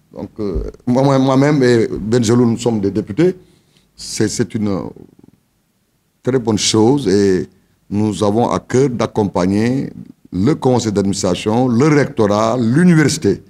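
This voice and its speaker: MP making a speech, mid voice, leans on words. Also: male voice, medium pitch, darkish tone. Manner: rhetorical, with stretched emphatic words and hesitant restarts. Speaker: member of parliament. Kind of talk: formal speech.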